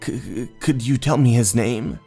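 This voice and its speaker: A man's voice: mid-range voice, measured pace, vocal fry, mellow, breathy edge. A man voicing a hesitant, anxious younger man who stammers, in a horror manga dub. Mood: nervous, hesitant. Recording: voice over background music.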